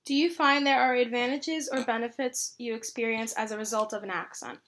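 A woman's voice: fairly high pitch, fairly even intonation, fairly quick, a bit bright, a little hiss or hum. A woman interviewer reading out prepared questions to her subject.

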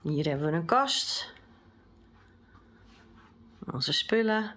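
Slow-talking woman showing a hotel room. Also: sits low, animated, fairly bright tone. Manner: slow, plain show-and-tell. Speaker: vlogger giving a hotel room tour. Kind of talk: casual travel vlog. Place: small hotel room indoors.

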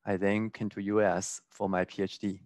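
A man from China, who runs a research lab, introducing himself calmly and matter-of-factly. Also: lowish voice, moderate pace, no echo. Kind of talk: scripted self-introduction.

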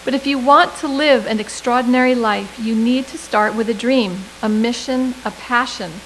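Middle aged lady doing a graduation speech, kinda high voice, measured, bit of hiss on the podium mic. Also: low-quality recording. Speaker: commencement speaker. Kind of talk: prepared commencement speech. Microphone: podium microphone.

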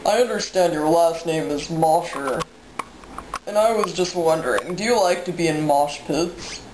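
Low-voiced young woman making prank calls. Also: moderate pace. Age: young adult. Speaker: prank caller. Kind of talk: prank phone call. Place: small room at home, indoors. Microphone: camera microphone a short distance away. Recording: roomy, noisy home camera recording.

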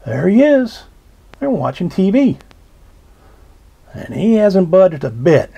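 A man reading a children's picture book aloud, slow and expressive, pausing to point out details in the pictures. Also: sits high, gravelly, slightly rough. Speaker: storybook reader. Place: indoors at a table.

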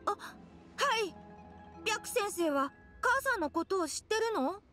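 Casting: earnest little boy for anime game, very high bright voice, music faintly behind. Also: lively intonation, unhurried, heavily acted. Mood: polite. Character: earnest young boy worried about his missing father. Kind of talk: Japanese anime game voice acting.

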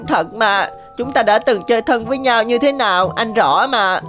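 A woman's voice: fairly high pitch, expressive, normal speed, a bit bright, soft background music. A woman voicing a small, clever rabbit in a cartoon, in a coaxing, plaintive manner. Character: small, clever, sweet-talking, vengeful rabbit. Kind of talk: cartoon voice acting.

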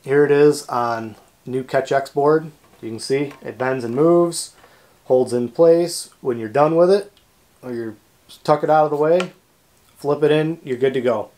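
A man's voice: mid-range voice, a little slow, a little mellow. North American man giving a plain-spoken demonstration. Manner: plain-spoken, informal, explanatory. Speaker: host of a kayak fishing channel. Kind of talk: product demonstration to camera. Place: small indoor workshop room.

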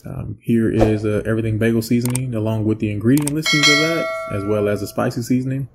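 Home cook host, thirties, lower pitch, easygoing filler-heavy delivery, seated at the camera. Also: male voice, fast, heavy background noise. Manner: relaxed, rambling, filler-heavy explaining. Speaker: cooking channel host. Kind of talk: casual cooking voice-over and taste test. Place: indoor home set with plain backdrop.

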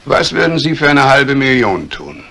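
Creaky-voiced, cold scheming banker. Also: male voice, mid-range voice, sing-song, moderate pace, bright, forceful tone, full character voice. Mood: sly, confiding. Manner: calculating, menacingly polite. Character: cold, scheming embezzling banker plotting murder. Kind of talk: 1950s German radio crime drama acting.

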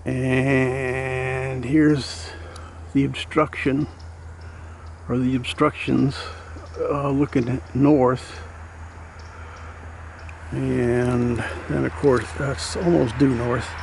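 Slow gravelly man filming outdoors. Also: medium pitch, little pitch movement, darkish tone. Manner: slow, halting, many fillers, dry humor. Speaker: homeowner showing his solar setup. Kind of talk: casual walkthrough narration. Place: outdoors in wooded lot. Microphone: handheld camera mic. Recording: noisy outdoor camcorder audio.

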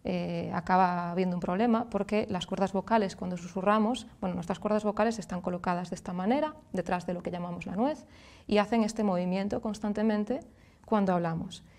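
A woman in her thirties with a Galician Spanish accent, a speech therapist explaining clearly and patiently as a guest in a TV studio. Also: mid-range voice, fairly quick, darkish tone. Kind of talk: explanatory interview answers.

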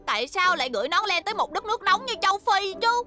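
A stubborn, fiery little schoolgirl from a cartoon dub. She speaks in a very high, wildly lively voice. Music plays clearly behind her. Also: fast. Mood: grumbling, regretful. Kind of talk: cartoon dubbing.

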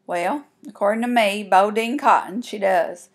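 A woman's voice: mid-range voice, measured pace, natural voice. A woman voicing a cocky, matter-of-fact country boy in a children's storybook, with an assertive, folksy delivery. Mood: know-it-all.

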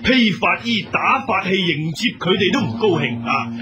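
A querulous senior monk in a radio drama, with a very high voice for a man and a Hong Kong Cantonese accent. He sounds impatient and grudging, with music clearly behind him. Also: lively intonation, normal speed. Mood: indignant, grudging.

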